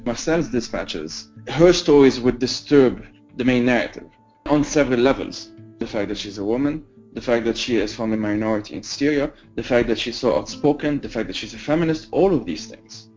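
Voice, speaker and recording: A young man, an editor, gives measured, reflective interview commentary. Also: mid-range voice, lively intonation, a little mellow, faint music behind. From Lebanon. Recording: slightly dull lo-fi sound.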